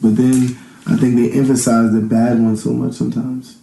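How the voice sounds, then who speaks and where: A man's voice: mid-range voice, level tone, moderate pace, vocal fry, dark tone. A man in his thirties chatting casually as an interview guest, rambling and thinking aloud. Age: 30s. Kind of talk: casual chat. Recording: echoey room.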